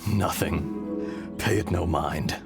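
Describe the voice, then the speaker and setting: A grave ancient dragon. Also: male voice, low voice, loud music behind the voice, heavily acted. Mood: solemn. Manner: slow, grave, often hushed and breathy. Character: ancient blind dragon speaking through a young man, solemn. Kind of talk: video game voice acting. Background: orchestral game score.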